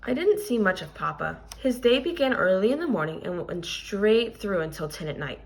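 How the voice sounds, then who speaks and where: A woman reading a children's picture book aloud like a teacher, expressive and deliberate, stressing key words and adding explanatory asides. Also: mid-range voice, vocal fry, rough recording.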